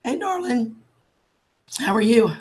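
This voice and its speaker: A woman, losing her voice from a cold, chatting warmly with viewers on a live stream and calling them darling and sweetheart. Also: fairly high pitch, big pitch swings, slow. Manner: affectionate, calls everyone darling and sweetheart. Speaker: livestream host. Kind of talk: casual live chat greeting viewers.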